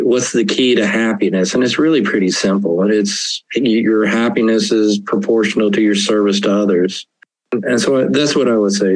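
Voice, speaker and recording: A man's voice: on the low side, no echo, low-quality recording. An older man, an author, talking earnestly and reflectively as an interview guest, often laughing. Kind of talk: casual interview talk.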